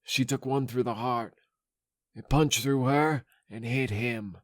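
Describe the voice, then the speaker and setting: A man's voice: medium pitch, slow, no room sound, clean studio sound, natural voice. An actor playing a slimy male underworld informant, reporting in a matter-of-fact manner in an audio drama. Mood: grim, matter-of-fact.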